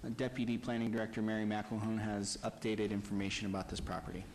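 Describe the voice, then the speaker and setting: A man's voice: on the low side, fast talker, slight background noise, poor audio. A man city staff member formally stating a recommendation to council. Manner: formal, monotone.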